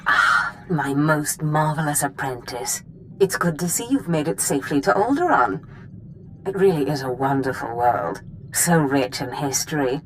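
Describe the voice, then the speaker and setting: A scheming female Sith mentor in a video game. Her low voice is measured, smooth and patronising. Faint ambient noise sits behind it. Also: animated, fairly bright tone, poor audio. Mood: silky. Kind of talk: scripted video game briefing.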